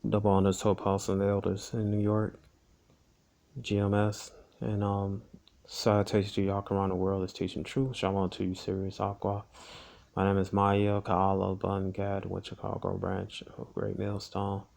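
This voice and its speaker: A man teaching for a religious group, reading an article aloud haltingly and breaking in often with his own comments. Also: sits low, monotone, creaky, a little mellow. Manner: halting reading, constant "all right" interjections.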